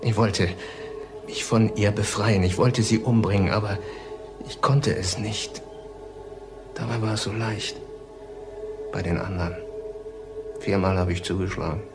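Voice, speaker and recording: Very deep-voiced haunted killer confessing. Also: male voice, steady tone. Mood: bitter, tormented. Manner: halting, deliberate confession. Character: confessing middle-aged serial killer, tormented by his mother. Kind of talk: German crime radio drama acting. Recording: music underneath the voice.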